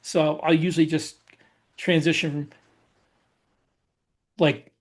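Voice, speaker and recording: A man with a somewhat high voice chats casually as a podcast co-host. He explains his own way of working in an informal, conversational style. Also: level tone, slow pace. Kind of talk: casual chat about craft.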